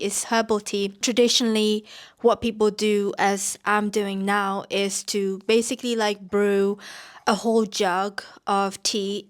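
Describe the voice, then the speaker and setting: A woman from Myanmar hosting a casual podcast, sounding nasal as she gets over a cold, rambling with many "like" fillers. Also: medium pitch. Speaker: podcast host. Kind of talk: casual chatty podcast talk.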